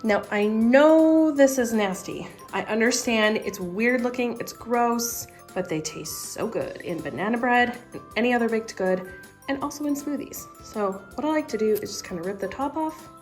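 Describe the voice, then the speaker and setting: A young woman vlogging casually from home, her voice raspy and hoarse from being sick, chatty and upbeat. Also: on the high side, lively intonation, normal speed, soft background music. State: hoarse from illness. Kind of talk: casual recipe vlog. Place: living room at home, indoors.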